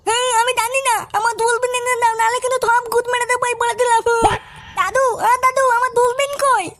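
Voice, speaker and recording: A woman voicing a bratty, foul-mouthed, demanding cartoon toddler boy through a pitched-up effect. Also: little pitch movement, fast, very noisy. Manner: demanding, threatening, crude.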